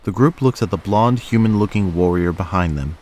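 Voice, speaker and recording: A man's voice: sits low, brisk, a little mellow. A man reading scripted narration for an audio drama.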